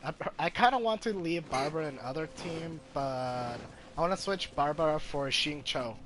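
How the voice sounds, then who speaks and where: A man's voice: fairly high pitch, expressive, moderate pace, a bit bright, a little hiss or hum. A man giving casual live gameplay commentary, hesitantly thinking aloud as he plays. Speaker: game streamer.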